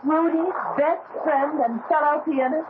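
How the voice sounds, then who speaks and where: A woman's voice: high voice, fairly even intonation, measured pace. An actress playing an eager, gushing young woman, the pianist's fiancée, in an old radio drama. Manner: gushing, bright, sociable. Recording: hissy lo-fi old radio broadcast.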